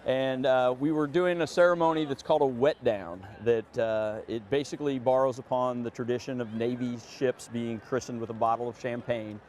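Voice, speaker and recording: A man's voice: mid-range voice, fairly even intonation, normal speed, few pauses, darkish tone, slight background noise. A man who is a firefighter officer, explaining a fire service tradition in an interview, with frequent hesitations. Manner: explanatory, with many "uh" fillers. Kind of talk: on-site interview.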